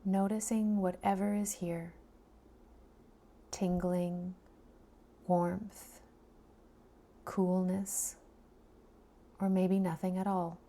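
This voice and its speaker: A woman guiding a body scan meditation in a soft, soothing, breathy voice that often trails into a near-whisper, leaving long pauses between phrases. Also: fairly low pitch, little pitch movement. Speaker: meditation guide.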